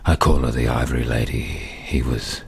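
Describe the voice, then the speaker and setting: A man narrating a radio play as a middle-aged man looking back, slow and measured, with music behind him. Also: extremely low pitch, fairly even intonation, creaky, slightly rough, heavily acted. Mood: reflective. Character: grown man looking back, brooding and reflective. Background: music score.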